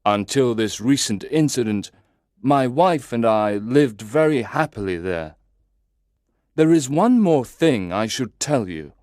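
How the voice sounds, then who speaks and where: A man narrating a first-person story in a slow, deliberate, carefully enunciated way, as if for language learners. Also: medium pitch, expressive, darkish tone, no room sound, studio quality.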